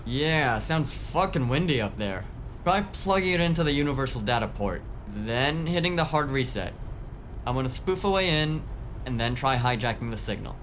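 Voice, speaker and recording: A man's voice: mid-range voice, lively intonation, moderate pace, a bit bright, slight background noise, close to an everyday voice. A man playing a grumbling, sarcastic tech whiz in a cyberpunk audio drama, talking in a casual, rambling way. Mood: distracted, flippant. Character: grumbling, foul-mouthed, sarcastic male tech whiz driver.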